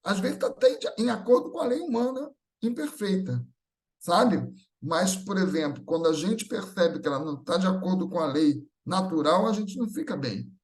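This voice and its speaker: A man's voice: high voice, animated, moderate pace, a little mellow. A man with a Brazilian accent giving a conversational, explanatory religious talk, informal and often checking in with rhetorical questions. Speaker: speaker at a Spiritist gathering.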